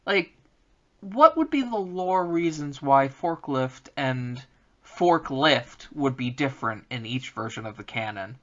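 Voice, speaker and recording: A man chats casually and comedically as a podcast co-host. His mid-pitched delivery is lively and swings up and down, on a slightly dull recording. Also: unhurried.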